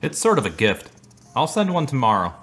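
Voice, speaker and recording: Guy playing low-key mopey boyfriend in a radio play, dry delivery, noisy clicky audio. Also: sing-song, a little fast, fairly bright tone. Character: mild, apologetic boyfriend with dry self-pity. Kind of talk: radio drama acting. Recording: clearly noisy, clicky amateur recording.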